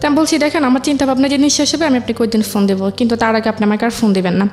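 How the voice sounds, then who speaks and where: A woman's voice: on the high side, fairly even intonation, rapid-fire, a little mellow. A woman, a guest on a show, telling a personal story and recounting conversations as she goes. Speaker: interview guest. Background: background music.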